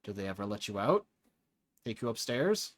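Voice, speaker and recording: A man's voice: fairly low pitch, sing-song, normal speed, pitched below the performer's own voice. A man voicing a wary young male prison chief with a dry, plain way of talking.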